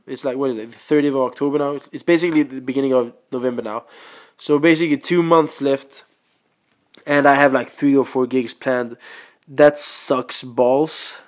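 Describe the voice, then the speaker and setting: A young man from Sweden talks through his plans in a loose, rambling way, stopping often to search for words. He has caught a cold, and his voice sits at an ordinary middle pitch. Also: a little mellow. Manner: rambling, many ums and restarts. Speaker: podcast host and stand-up comedian. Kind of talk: casual monologue.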